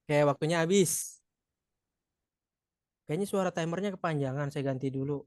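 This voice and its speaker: A man's voice: fairly high pitch, normal speed, a little mellow, no echo, studio quality. A man from Madura with a Madurese accent, tutoring a live online exam practice session, chatty and encouraging, joking with participants.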